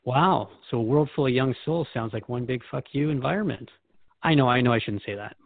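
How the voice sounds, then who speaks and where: A man hosts a conversational interview with a candid, wry manner. His voice has a middle pitch and a close, dry sound with no room echo. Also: brisk, vocal fry, narrow, tinny audio. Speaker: host and questioner.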